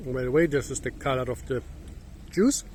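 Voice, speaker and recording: A hoarse man, musing and halting as he thinks aloud while hosting a casual fruit-tasting vlog outdoors in a garden, recorded on a phone. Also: medium pitch, a little mellow, a little hiss or hum. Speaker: fruit channel host. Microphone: phone camera.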